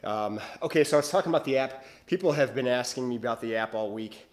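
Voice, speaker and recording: A man's voice: medium pitch, fast. A male bodybuilder vlogging to his viewers in casual chat, rambling and hesitant, with lots of fillers and restarts.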